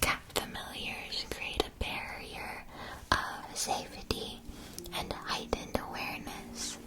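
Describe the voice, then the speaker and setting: A woman playing a gentle, attentive witch shopkeeper in a scripted ASMR roleplay, whispering soft, measured, soothing explanations. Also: close to an everyday voice. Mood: calm, attentive. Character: gentle, attentive witch school supply shopkeeper advising a student.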